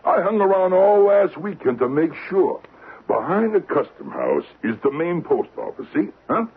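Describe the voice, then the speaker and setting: A cocky, folksy crook pitching a heist in slangy talk, his pitch swinging freely. It is old-time radio acting, heard through a thin, narrow broadcast sound with faint hiss. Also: big pitch swings, moderate pace. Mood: cocky, scheming. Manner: folksy, slangy, talks in tags like "see?" and "huh?". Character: cocky male safecracker scheming a vault heist.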